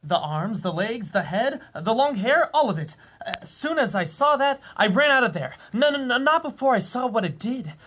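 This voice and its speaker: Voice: medium pitch, sing-song, normal speed, fairly bright tone, slight background noise. A performer voicing a small, portly, nervous alien who stammers and repeats words in a radio drama. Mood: excited, breathless. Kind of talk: old-time radio drama acting.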